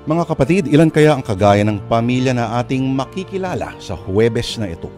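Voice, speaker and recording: A man with a Filipino accent hosting and narrating a radio drama, phrasing deliberately with dramatic pauses. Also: mid-range voice, sing-song, a little mellow. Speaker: host and narrator. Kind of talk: dramatic radio storytelling introduction. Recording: music under the voice.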